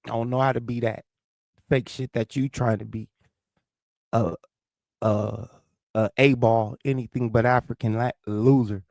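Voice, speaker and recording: A man with a noticeable lisp and a St. Louis accent rants on his livestream, answering chat comments in a confrontational, profane way. His voice sits mid-range with a gravelly edge. The sound is dry and close, with no room echo. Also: mid-range voice, steady tone, measured pace, long pauses, a little mellow. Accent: St. Louis, American South Midwest. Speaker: livestream host.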